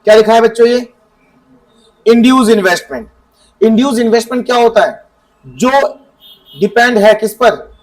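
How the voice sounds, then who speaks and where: Male teacher, high voice, quizzes the class and answers himself. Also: low-quality recording. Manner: asks students questions and answers them himself; reads definitions from the book slowly. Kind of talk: classroom economics lesson.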